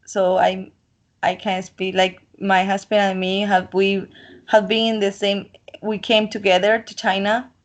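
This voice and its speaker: A woman's voice: medium pitch, little pitch movement, measured pace. Talkative woman with a Colombian accent. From Colombian coast. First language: Spanish. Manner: talkative, rambling, self-assured. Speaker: interviewee. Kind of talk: casual chat.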